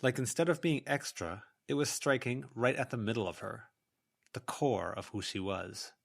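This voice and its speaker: A man's voice: mid-range voice, moderate pace, vocal fry. A man reading scripted fiction as an audiobook narrator.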